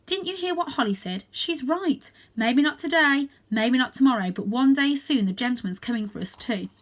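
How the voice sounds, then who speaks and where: An earnest young tomcat from a children's storybook, played by a woman, speaks in a raised, high voice. He is anxious yet determined, pressing each point with urgent emphasis. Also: lively intonation, normal speed.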